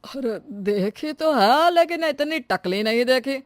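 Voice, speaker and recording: A woman voicing a blunt, baffled veteran male doctor in an animated story, with drawn-out exclamations. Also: high voice, no room sound. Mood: astonished.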